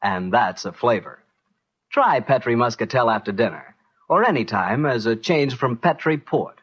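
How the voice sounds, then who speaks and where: Husky old-time radio announcer man. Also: medium pitch, lively intonation, normal speed, a bit bright. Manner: chatty, persuasive. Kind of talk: 1940s radio sponsor pitch. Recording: muffled old radio broadcast recording.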